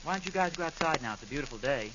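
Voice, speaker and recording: Stubborn, grumbling sitcom dad, played by a man, high-pitched, self-justifying, on a crackly, muffled old radio recording. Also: lively intonation, rapid-fire, natural voice. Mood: mildly exasperated. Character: defensive, stubborn suburban husband and father. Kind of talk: old-time radio situation comedy acting.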